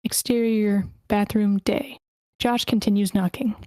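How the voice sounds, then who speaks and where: A woman's voice: mid-range voice, fairly even intonation, unhurried, dark tone, no room sound. A woman hosting an online table read, casually organizing and reading screenplay stage directions aloud. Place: online meeting.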